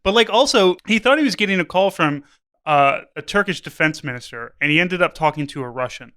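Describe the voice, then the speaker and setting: A male co-host with a bright, crisp voice sitting a little high. He banters casually and jokily, repeating words as he goes. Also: lively intonation, a little fast. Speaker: podcast co-host.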